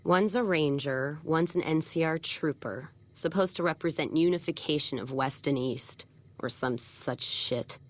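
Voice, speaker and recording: An actress playing a tough, foul-mouthed, cynical caravan boss in a video game, blunt and sardonic with folksy frontier phrasing. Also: female voice, deep voice, a little slow. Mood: bitter, sardonic. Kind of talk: video game character dialogue.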